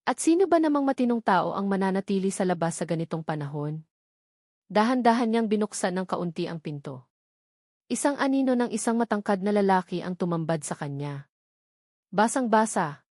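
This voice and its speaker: A woman narrator with a Filipino accent reads a scripted dramatic story. Her voice sits at an average pitch for a woman. The sound is dry, with very little room in it. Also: a little mellow, no echo.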